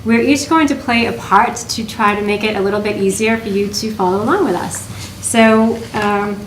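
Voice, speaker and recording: A woman's voice: mid-range voice, steady tone, normal speed. A woman speaks as the host of a writers' critique show. She addresses listeners in an explanatory way. The room is echoey, with faint noise behind her. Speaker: podcast host. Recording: roomy echo with noise behind.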